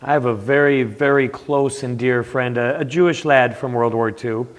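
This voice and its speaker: An older veteran with a Norwegian-tinged accent, speaking earnestly with some hesitation. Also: male voice, medium pitch, moderate pace. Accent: Norwegian-tinged Upper Midwest. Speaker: veteran panelist. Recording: reverberant community TV studio.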